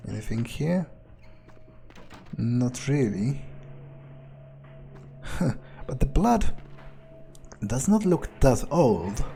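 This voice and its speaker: A man's voice: mid-range voice, sing-song, drawn-out words, vocal fry, long pauses, dark tone, slight background noise. A man doing improvised in-character commentary on a game, thinking aloud in a nonchalant, hesitant way. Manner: nonchalant, musing, hesitant phrasing. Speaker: gamer doing an in-character playthrough.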